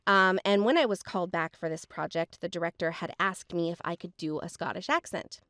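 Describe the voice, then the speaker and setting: A woman's voice: on the low side, a little fast, fairly bright tone, no echo, studio quality. A woman hosting a podcast, chatting effusively with lots of fillers. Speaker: podcast host. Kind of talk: casual podcast chat.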